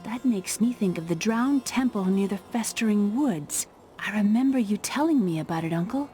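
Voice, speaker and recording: A woman's voice: mid-range voice, expressive, normal speed, dark tone, faint music behind, lightly acted. An actress playing an earnest, curious young woman in a fantasy video game. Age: young adult.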